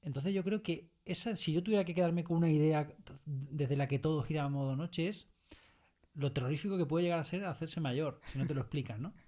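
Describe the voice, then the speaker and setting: A man's voice: a little high, brisk, dark tone. Reflective man chatting as interview guest. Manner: keeps checking in with tag questions. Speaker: interview guest, audio fiction writer. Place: recording studio.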